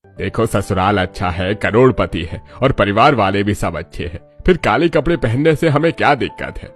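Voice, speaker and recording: A man acts a worried middle-aged father in a cartoon family drama. His voice sits fairly low as he reasons earnestly, with music underneath. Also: animated, moderate pace. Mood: persuasive. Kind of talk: Hindi cartoon story dialogue. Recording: music bed behind the voice.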